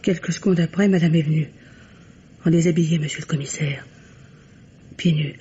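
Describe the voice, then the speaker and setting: An actress playing an anxious, deferential housemaid giving testimony in a radio mystery, hesitant and earnest. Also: female voice, sits low, steady tone, moderate pace, warm tone, natural voice. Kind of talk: French radio mystery drama acting. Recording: dull, noisy old radio recording.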